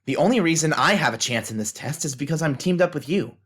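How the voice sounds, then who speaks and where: A man's voice: on the high side, expressive, fast talker, fairly bright tone, breathy edge. A man playing a thoughtful, self-doubting teenage novice fighter in an audio drama, earnestly reasoning things out. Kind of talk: fan audio drama acting.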